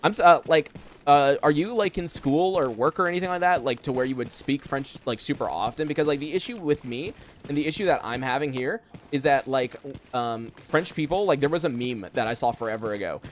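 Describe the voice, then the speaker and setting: A young man talks through a game stream in a loose, rambling way, full of fillers and half-finished thoughts. His voice sits in an ordinary middle pitch. A faint hiss of noise runs under him. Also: a little fast. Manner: rambling, filler-heavy, chatty. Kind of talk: casual live gameplay chat. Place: room at home.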